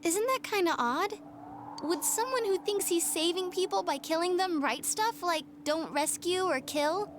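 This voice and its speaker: Very high-pitched perky teenage girl. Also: unhurried, noisy background. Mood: puzzled. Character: perky, scatterbrained, good-hearted teenage girl. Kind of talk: English video game dub acting. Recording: game music behind the voice.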